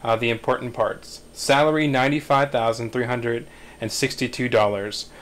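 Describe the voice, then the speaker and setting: A man in his thirties narrating screenplay directions in a measured, halting way at a table read. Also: mid-range voice, steady tone.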